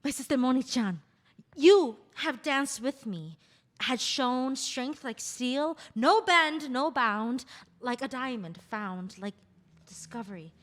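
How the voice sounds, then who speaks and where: A woman reading the part of a graceful, poetic Khmer court dancer in a stage play, with a lyrical, measured delivery. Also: high voice, animated. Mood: earnest, affectionate. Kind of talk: stage play table read.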